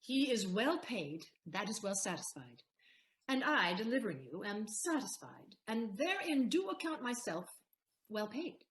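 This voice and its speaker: A woman acting a shrewd, poised young lawyer in a Shakespearean audio play, speaking formally and deliberately with a teasing edge. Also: a little high, very animated, fairly bright tone, echoey room. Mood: composed, formal. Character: shrewd, poised Portia disguised as a male lawyer.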